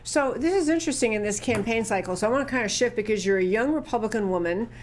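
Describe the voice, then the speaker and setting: A woman's voice: on the high side, lively intonation, fast talker, a little hiss or hum. A woman hosting a talk show, asking curious follow-up questions in a chatty way. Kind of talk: conversational interview.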